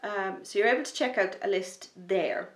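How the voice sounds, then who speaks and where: A woman hosting a knitting podcast, chatting in a rambling, enthusiastic way, a little sniffly from a starting cold, sometimes dropping to a soft near-whisper. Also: medium pitch, moderate pace, reverberant. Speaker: knitting podcast host and designer. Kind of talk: casual chat to camera.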